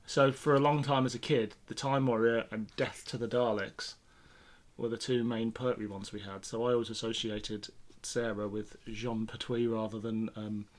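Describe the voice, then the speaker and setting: A man's voice: lowish voice, flat delivery, normal speed. A British man co-hosting a podcast in a casual, conversational chat style. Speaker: podcast co-host. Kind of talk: casual chat about classic television sci-fi.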